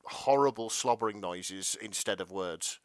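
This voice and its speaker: A man chats casually as a podcast co-host. His voice is clean and smooth, recorded close and dry, and he speaks in a halting, rambling way, doubling back on his sentences. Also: on the low side, no echo. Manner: hesitant, halting, self-interrupting.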